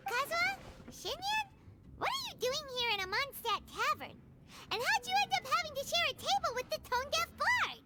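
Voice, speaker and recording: A woman voicing a tiny, excitable childlike fairy girl companion in a game, squeaky and exaggerated. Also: moderate pace, very noisy. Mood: surprised. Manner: speaks of self in third person, exaggerated. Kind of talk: game character voice acting. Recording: loud game music behind.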